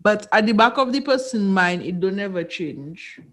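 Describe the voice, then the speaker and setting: A woman with a Caribbean accent in a casual group chat, telling stories in an animated way and acting out exchanges. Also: medium pitch, normal speed. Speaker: podcast panelist.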